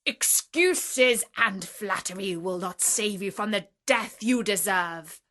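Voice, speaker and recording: A woman's voice: medium pitch, expressive, takes it slowly, a bit bright. A woman voicing a huge, furious male beast who roars threats in a terrible voice. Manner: roaring, threatening.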